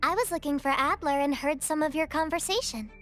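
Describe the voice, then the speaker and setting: A woman's voice: high-pitched, fairly quick, fairly bright tone, faint music behind. A woman playing an earnest, literal-minded young female resort worker in a video game, speaking politely.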